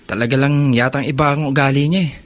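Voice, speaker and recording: A man's voice: medium pitch, steady tone, fast talker, close to an everyday voice. An actor playing a stern, worried young man, the responsible older brother, in earnest radio drama style. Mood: troubled, earnest. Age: young adult. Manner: scolding and earnest. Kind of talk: Filipino radio drama acting.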